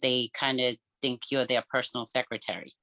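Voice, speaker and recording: A woman whose first language is Cape Verdean Creole talks in a casual podcast chat. Her voice is bright and sharp, and it is recorded dry and close with no room sound. She thinks aloud and pauses often. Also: a bass voice, fairly even intonation, no echo. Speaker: podcast co-host. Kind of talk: casual personal conversation.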